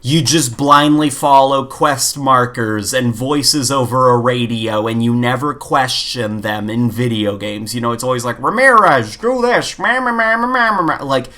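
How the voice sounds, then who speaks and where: A man's voice: medium pitch, measured pace, few pauses, pushed and bright. A man co-hosting a podcast in casual gaming chat, talking in an informal, opinionated, rambling way peppered with "like". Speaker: podcast co-host.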